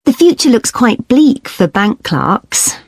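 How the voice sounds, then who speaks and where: Keen female student, agreeable, lively intonation, scripted discussion, dry studio sound. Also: on the high side, slow, breathy edge, no room sound, exaggerated voice. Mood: engaged. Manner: engaged, agreeable.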